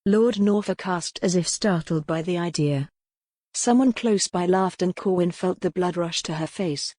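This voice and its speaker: A woman with a fairly low voice narrates a historical romance. Her delivery is scripted storytelling for an audiobook, recorded dry with little room sound. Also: a little slow, darkish tone, no room sound.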